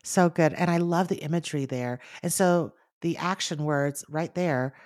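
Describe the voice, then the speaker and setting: A woman in her thirties whose native tongue is Mandarin, co-hosting a casual faith podcast with earnest, animated talk that speeds up in bursts. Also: low voice, moderate pace, dry recording, clean studio sound. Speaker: podcast co-host.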